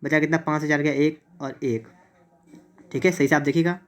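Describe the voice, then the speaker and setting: A male math teacher with a slightly high-pitched voice. He walks through exam problems one step at a time. He often poses a short question, answers it at once, and says key results again. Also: fairly even intonation, fairly quick. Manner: repeats himself, asks and answers his own questions.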